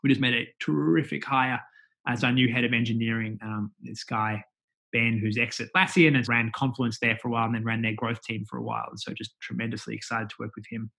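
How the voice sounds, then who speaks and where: A man's voice: medium pitch, fairly even intonation, fairly quick, vocal fry, bright, forceful tone, rough recording. Chatty Australian man in an interview. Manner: chatty, many fillers and restarts. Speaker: guest, company executive. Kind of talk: casual interview chat.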